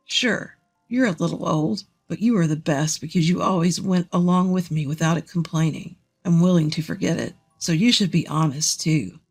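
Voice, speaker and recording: A woman's voice: on the low side, normal speed, breathy edge, soft background music, voice pushed down. A woman voicing a middle-aged ex-husband in an audio story, lazy, smug, whiny and oblivious. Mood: smug, presumptuous. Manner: smug, clueless, stammering when challenged.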